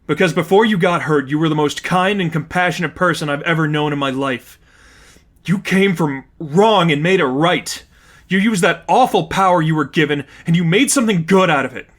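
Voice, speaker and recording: Man acting a scornful, impassioned young woman, high-pitched. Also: normal speed, close to an everyday voice. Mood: impassioned, pleading. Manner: emphatic, condescending, argumentative. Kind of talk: dramatized fan fiction reading.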